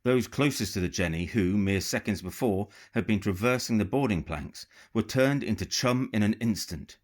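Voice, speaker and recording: A man reading a novel as a dramatic, measured and expressive audiobook narration. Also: fairly low pitch. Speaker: author reading own novel.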